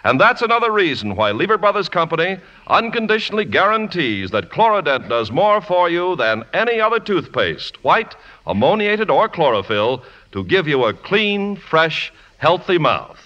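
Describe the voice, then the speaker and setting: A man's voice: high voice, sing-song, normal speed, few pauses, sharp tone. A man announcing on 1950s radio, reading scripted ads and credits with polished, emphatic salesmanship. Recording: dull, reverberant 1950s radio recording.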